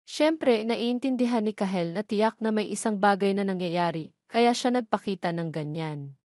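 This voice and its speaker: A woman's voice: mid-range voice, moderate pace, a little mellow, dry recording, clean studio sound. A woman with a Filipino accent reading a scripted narration for an audio drama love story.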